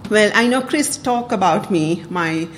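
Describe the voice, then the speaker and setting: A woman's voice: medium pitch, lively intonation, unhurried, a little hiss or hum. A woman from Sri Lanka giving a public talk to a club, speaking hesitantly with many fillers and the odd laugh. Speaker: community council speaker.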